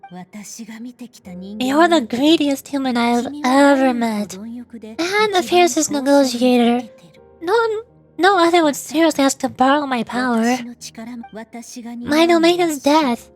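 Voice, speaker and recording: A high female voice put on by a woman gives us a ruler of death from a fantasy game. She is stern and imperious, with measured, ominous delivery. Soft music plays behind her. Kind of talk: fantasy video game dialogue.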